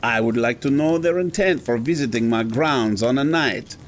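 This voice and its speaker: An actor plays an imperious man who runs a learned academy in an audio drama. He is formal, haughty and stern, with a mid-pitched voice. There is some noise behind him. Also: moderate pace, close to an everyday voice. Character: pompous, evasive, imperious scholar in charge of an academy.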